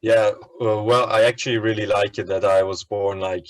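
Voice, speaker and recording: A man's voice: fairly low pitch, fairly even intonation, normal speed, rough recording. Easygoing young man. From Turkey. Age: 20s. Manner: easygoing, searches for words with ums and likes. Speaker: student interviewee. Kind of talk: casual interview answers.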